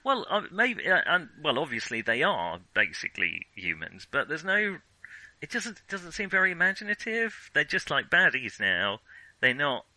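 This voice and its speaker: A man's voice: fairly high pitch, sing-song, normal speed, sharp tone, no echo, low-quality recording. A man co-hosting a casual film discussion, speaking in a hesitant way and often qualifying what he says. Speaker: film discussion podcast co-host.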